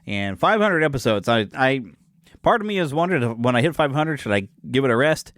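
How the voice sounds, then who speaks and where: Podcast host, man in his forties, mid-pitched voice, dry close sound, rambling and self-mocking. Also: lively intonation, brisk, a bit bright, no echo. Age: 40s. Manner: rambling and chatty, loses his thread and corrects himself; self-deprecating and joking. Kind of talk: casual solo podcast chat.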